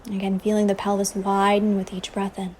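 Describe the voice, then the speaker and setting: A woman leading a guided meditation as a teacher, speaking softly and breathily in a soothing way with long pauses, over ocean waves. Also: mid-range voice, monotone. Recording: faint ambient wave noise behind voice. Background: ocean waves sound effect.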